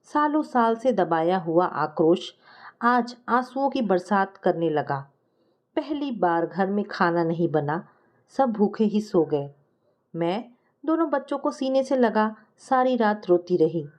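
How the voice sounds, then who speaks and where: A woman's voice: mid-range voice, lively intonation, slow, darkish tone. A woman reading a scripted first-person family story as a storyteller.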